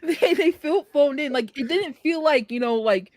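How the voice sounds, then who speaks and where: A woman's voice: high-pitched, expressive, moderate pace, darkish tone, dry recording, studio quality. A woman chatting casually on a panel about films, arguing her points emphatically in slangy speech. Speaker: podcast panelist.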